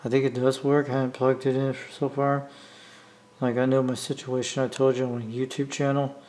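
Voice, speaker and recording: A man with an American accent talking casually off the cuff as a hobbyist video maker, rambling and halting as he repeats himself. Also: mid-range voice, flat delivery, a little mellow. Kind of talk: casual unscripted vlog talk. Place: indoor room, talking from behind the camera.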